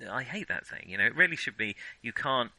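A middle-aged man with a very bright, forward voice chats as a podcast co-host. His pitch moves in a lively way, and the sound is close and dry. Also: fairly quick, no echo, low-quality recording. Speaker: podcast co-host discussing films. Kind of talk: casual discussion.

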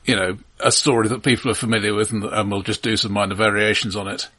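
A man with a husky, lowish voice co-hosts a casual film discussion. He qualifies himself often, restarting phrases and correcting them as he talks. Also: fairly even intonation, fast, sharp tone. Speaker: podcast co-host.

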